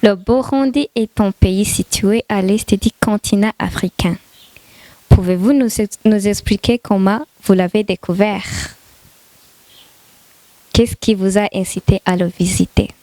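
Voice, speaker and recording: A woman's voice: medium pitch, a little slow, dark tone, dry recording. A woman interviewer putting prepared questions to her guest.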